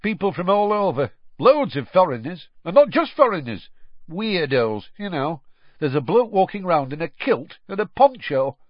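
A gruff, suspicious country campsite keeper, loud and blunt, raised in pitch, as a male narrator puts him on. Husky grain, dry dull lo-fi sound. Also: sing-song, normal speed, dry recording. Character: suspicious, blunt rural campsite owner man. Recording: slightly dull lo-fi audio.

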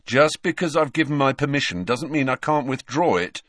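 A man's voice: mid-range voice, lively intonation, normal speed, a bit bright, dry recording, clean studio sound, pitched above the performer's own voice. A man voicing a grumpy teenage big brother in an audiobook, speaking grudgingly.

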